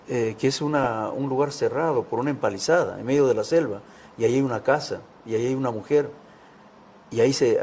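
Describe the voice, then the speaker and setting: A man with a gravelly voice, talking casually and haltingly as a guest author. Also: mid-range voice, a little mellow, slight background noise. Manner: hesitant, halting phrasing. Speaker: guest author, children's writer. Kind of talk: casual interview talk and storytelling.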